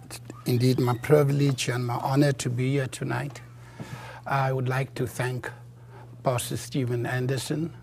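A South African man whose first language is Afrikaans, preaching from a church pulpit as a guest preacher, earnest, with halting restarts. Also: mid-range voice, vocal fry, darkish tone, a little hiss or hum. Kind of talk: sermon.

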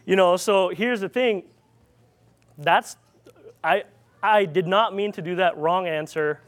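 A man from the Philippines with a Filipino accent, preaching in a loose, rambling storytelling way with many fillers and restarts. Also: high-pitched. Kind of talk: informal sermon storytelling.

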